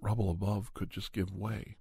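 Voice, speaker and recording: Guy playing an earnest monster investigator telling his tale asmr style, really breathy and hushed, mellow, stops and starts a lot. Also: fairly low pitch, flat delivery, dry recording, full character voice. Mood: hushed, musing. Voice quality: breathy, soft, husky. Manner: hushed, halting, pausing often mid-sentence. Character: Doctor Andrew Michaels, earnest monster investigator recounting a hunt. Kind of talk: ASMR storytelling, told as first-person account.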